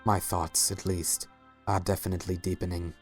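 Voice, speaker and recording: Man as suave, low-voiced regal sultan. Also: flat delivery, soft background music. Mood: seductive. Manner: measured, formal, polite. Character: suave, regal, courtly male alicorn sultan. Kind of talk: fan-fiction dramatic reading.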